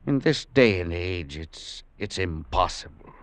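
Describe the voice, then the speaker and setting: An actor playing a detached, cold professor in an old-time radio drama, speaking in a dry, clipped way. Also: male voice, low-pitched, lively intonation, a little slow. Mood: uneasy. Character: cold aloof older male professor, nervous.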